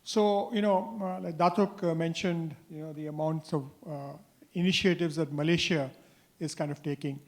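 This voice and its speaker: Man, higher voice, reeling off figures. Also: steady tone, normal speed. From India. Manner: reels off figures with "uh" and tag "right?". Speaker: panelist, industry association chair. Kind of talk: panel discussion.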